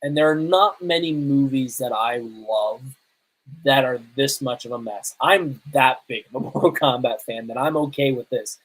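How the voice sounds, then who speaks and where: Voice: medium pitch, normal speed, low-quality recording. A podcast host talking casually through a movie review as an opinionated, self-confessed fan.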